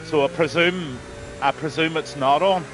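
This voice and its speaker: Hoarse man commentating a race. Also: fairly high pitch, normal speed, noisy background. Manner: rambling, frequent ums, blunt. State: losing his voice. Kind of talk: live sim racing commentary.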